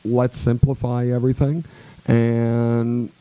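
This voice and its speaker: A man's voice: medium pitch, slow pace, clear, resonant tone, dry recording, low-quality recording. A man in his forties from Quebec, a guest musician telling stories in a radio interview, loose and rambling with lots of fillers. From Quebec, Canada. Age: 40s. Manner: relaxed, rambling storyteller with many fillers.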